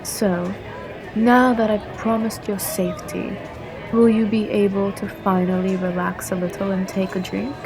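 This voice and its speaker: Slow-talking roguish assassin played by a woman. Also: mid-range voice, level tone, close to an everyday voice. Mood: coaxing, reassuring. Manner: teasing, unhurried, coaxing with old-fashioned turns of phrase. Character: roguish, flirtatious female guild assassin in a fantasy tavern. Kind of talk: ASMR fantasy roleplay. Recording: music and tavern chatter behind.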